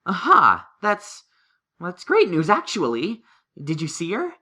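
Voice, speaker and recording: A man's voice: high-pitched, wildly swooping pitch, measured pace, bright, forceful tone, voice pushed up, heavily acted. A man voicing a studious, earnest young male unicorn pony in a fan-fiction audio story, speaking in an emphatic, analytical way. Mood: startled.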